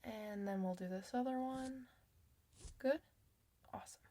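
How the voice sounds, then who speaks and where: A woman playing a kind clinician in an ASMR medical roleplay, soft-spoken, reassuring and chatty with the patient. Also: on the high side, unhurried. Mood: calm, reassuring.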